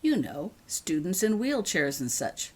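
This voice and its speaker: A woman's voice: on the low side, big pitch swings, measured pace, darkish tone, husky, exaggerated voice. A woman voicing a kindly, practical, reassuring mother in a storybook reading. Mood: curious.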